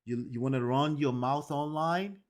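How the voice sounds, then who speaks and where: A man's voice: mid-range voice, normal speed. Emphatic man hosting a livestream. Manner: emphatic, repeats "okay?" and "right?" to viewers. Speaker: livestream host. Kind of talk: casual commentary to a live chat.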